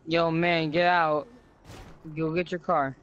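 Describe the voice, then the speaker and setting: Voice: medium pitch, level tone, slow, a bit bright, muffled recording. A player improvising casual roleplay while gaming, talking over a headset voice chat.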